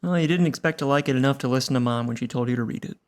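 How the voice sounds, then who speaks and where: Young man, medium pitch, casual co-host. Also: racing, clean tone. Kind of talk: casual chat, short interjections.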